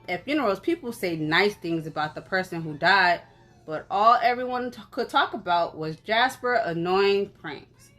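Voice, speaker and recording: A woman reading a story aloud in an animated, conversational way, as if for children. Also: mid-range voice. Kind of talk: expressive children's story narration.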